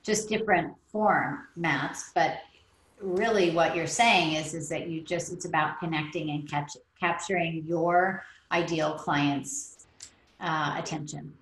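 Woman host, lower voice, eager and affirming, echoey room. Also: steady tone, unhurried, a bit bright. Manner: enthusiastic, affirming, tells anecdotes. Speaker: podcast host. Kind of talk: casual podcast interview.

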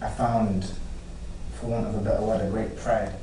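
Interview actor, man, low and earnest, deliberate and emphatic, distant mic in an echoey, noisy room. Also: flat delivery. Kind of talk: press junket interview.

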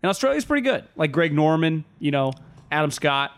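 A man's voice: a little high, sing-song, fairly quick, clear, resonant tone. A young man, co-hosting a casual sports podcast and rambling through his points with lots of fillers. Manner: rambling, filler-heavy, enthusiastic. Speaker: podcast co-host.

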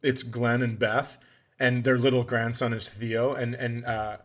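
A man's voice: mid-range voice, flat delivery, unhurried, fairly bright tone. A man hosting a podcast, telling a casual comedic story in a profane, exasperated way and acting out the dialogue. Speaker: podcast host.

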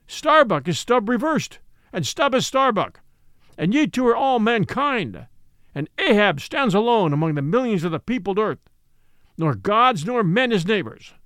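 A man voicing a grim, obsessed old sea captain who booms his orders in an old-fashioned, declamatory way. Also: fairly bright tone, no echo, studio quality, full character voice. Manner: booming, declamatory.

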